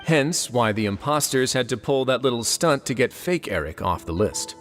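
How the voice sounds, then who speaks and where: Game duke: mid-pitched, authoritative, measured investigator, music clearly behind. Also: male voice, big pitch swings, a bit bright. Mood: composed explaining. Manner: measured, analytical. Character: composed, authoritative male duke solving a case. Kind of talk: video game character acting, explanatory.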